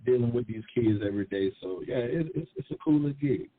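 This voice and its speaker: A man's voice: medium pitch, quick pace, slightly rough, no echo. A middle-aged man chatting casually as a talk show panelist, playful and slangy, repeating phrases for emphasis. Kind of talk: casual chat. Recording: muffled, narrow phone-like audio.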